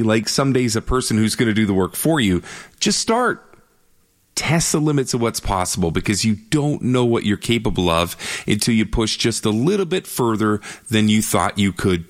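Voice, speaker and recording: Low-voiced male radio host, upbeat. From Canada. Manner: upbeat, earnest, emphatic pep talk. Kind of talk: radio interview and motivational monologue.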